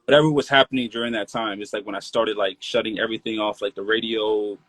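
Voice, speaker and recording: A man's voice: lowish voice, steady tone, fast, fairly bright tone, no echo. A man in his late twenties, a rapper from Maryland, talking loosely in a casual interview, full of "like" and "you feel me". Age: 20s. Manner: laid-back, loose, peppered with "like" and "you feel me".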